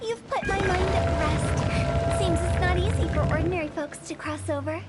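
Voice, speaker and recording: Playful cryptic young woman, very high voice, teasing and theatrical, video game dialogue, loud music behind. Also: normal speed.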